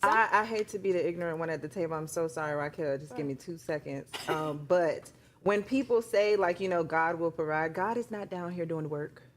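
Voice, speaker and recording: Female panelist, lower register, blunt and skeptical in casual debate. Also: brisk, a little hiss or hum. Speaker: talk show panelist.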